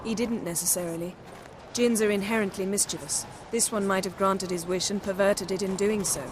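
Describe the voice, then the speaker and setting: Poised, assertive sorceress played by a woman, mid-pitched. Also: steady tone, fairly quick, background noise, close to an everyday voice. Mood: composed, assertive. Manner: articulate, precise, self-assured. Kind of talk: video game voice acting.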